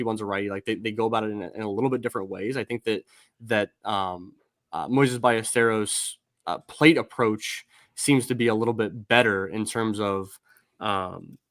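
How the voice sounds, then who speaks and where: A man's voice: on the low side, a bit bright, no room sound, clean studio sound. A man in his thirties hosting a casual baseball podcast over a video call, talking in rambling bursts with lots of restarts. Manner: rambling, many restarts and fillers. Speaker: podcast host. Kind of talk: casual baseball prospect discussion.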